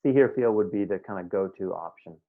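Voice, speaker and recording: A man's voice: low voice, a little fast. A man teaching a meditation class in a casual, conversational way, often checking that his students follow. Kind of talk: live online class teaching. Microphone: video call. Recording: tinny phone-like line.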